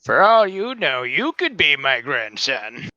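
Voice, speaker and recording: Man acting crusty elderly game adventurer, dry folksy teasing, fairly high lively voice, close dry sound. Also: measured pace, no room sound, dull audio, full character voice. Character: Amos, crusty, wary, wisecracking elderly adventurer. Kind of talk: tabletop roleplay.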